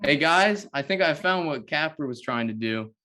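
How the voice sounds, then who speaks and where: A man's voice: a little high, animated, moderate pace, sharp tone. A man playing a casual young superhero teammate, plain-spoken, at an amateur script table read. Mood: puzzled.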